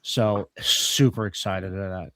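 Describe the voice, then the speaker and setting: A man's voice: low voice, steady tone, slow, a bit bright, no echo. A man hosting a cast discussion in a casual, conversational way. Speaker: host of a radio players troupe discussion.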